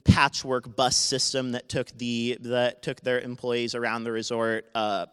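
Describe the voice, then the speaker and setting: A man in his twenties tells a true story from his own life to a live audience. His voice is loud, smooth and resonant, and his sentences often stop and start again. A long reverb from the hall trails behind each phrase. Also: mid-range voice, level tone. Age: 20s. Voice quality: naturally loud voice. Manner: halting, with many restarts and self-corrections. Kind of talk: unscripted personal story told live to an audience. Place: university event space.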